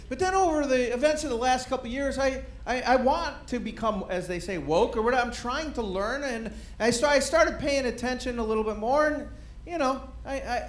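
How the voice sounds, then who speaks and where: A middle-aged man doing a stand-up comedy set on a club stage, deadpan and self-deprecating, with rambling asides. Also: very high-pitched. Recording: roomy live club with crowd noise. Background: audience murmur and laughter.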